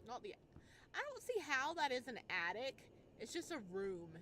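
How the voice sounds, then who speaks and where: A woman's voice: on the high side, very animated, normal speed, sharp tone, slight background noise. A woman gaming streamer giving casual let's play commentary, thinking aloud and reading game text. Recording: clear game music underneath. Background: video game music.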